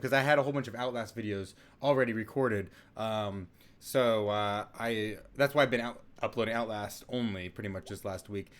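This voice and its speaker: A man's voice: medium pitch, a bit bright. A young man hosting a casual let's-play, chatting in a rambling way with lots of fillers and restarts. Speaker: gaming channel host.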